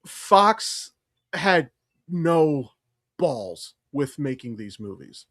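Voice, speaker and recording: A man's voice: on the high side, sing-song, very slow, a bit bright, no room sound, studio quality. A man co-hosting a podcast, chatting casually and giving blunt, emphatic, profanity-laced opinions on films. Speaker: podcast co-host. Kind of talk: casual opinionated film chat.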